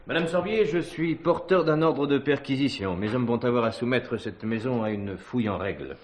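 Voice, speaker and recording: An actor playing a firm, dryly courteous police commissioner in a French 1970s stage thriller, speaking with formal, insistent authority. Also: male voice, medium pitch, expressive, moderate pace, natural voice. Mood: firm, official.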